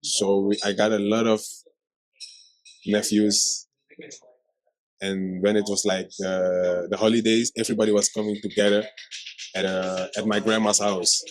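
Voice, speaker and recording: A man's voice: lowish voice, flat delivery, unhurried, long pauses, no room sound, poor audio. A man in his thirties from Suriname with a Surinamese Dutch accent, chatting playfully and often restarting his sentences. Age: 30s. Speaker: vlogger co-host. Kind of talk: casual chat.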